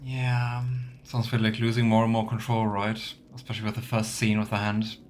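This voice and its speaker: A man's voice: on the low side, completely flat delivery, brisk, a little hiss or hum. A man doing casual let's play commentary, thinking aloud and musing as he role-plays.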